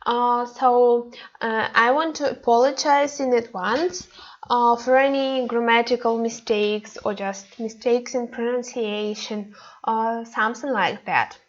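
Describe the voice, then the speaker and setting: A young Ukrainian woman with a Ukrainian accent, a Korean language teacher introducing her online course with many pauses and fillers. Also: fairly high pitch, steady tone, lo-fi sound. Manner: hesitant, many fillers and restarts. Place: indoors, webcam inset beside slides.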